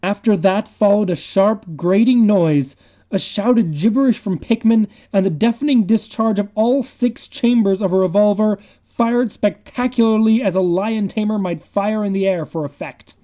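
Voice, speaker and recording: A man giving a dramatic reading of a horror story in a measured, confiding manner. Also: high voice, warm tone. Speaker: reader.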